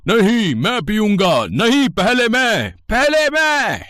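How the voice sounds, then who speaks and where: Casting: man to play greedy, lovestruck demon for animated myth. Slow, formal, very animated pitch. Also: dry recording, clean studio sound, full character voice. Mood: greedy, quarrelsome. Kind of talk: animated mythological story dialogue in Hindi.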